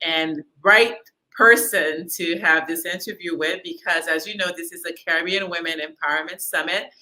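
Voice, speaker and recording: A woman's voice: lowish voice, steady tone, moderate pace, sharp tone, low-quality recording. A woman hosting a virtual summit, introducing her guest with upbeat enthusiasm over a video call. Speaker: summit host. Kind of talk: interview introduction.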